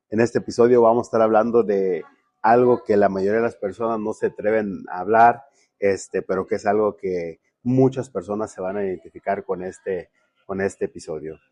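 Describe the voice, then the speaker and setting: Mellow low-voiced Mexican man hosting. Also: moderate pace, no echo. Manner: friendly, asks many questions, repeats words when restarting. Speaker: podcast host. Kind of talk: casual interview.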